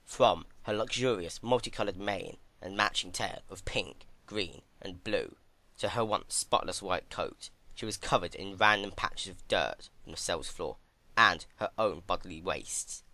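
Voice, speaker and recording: A man giving a dramatic scripted narration of a dark fantasy story. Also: low-pitched, measured pace, no room sound.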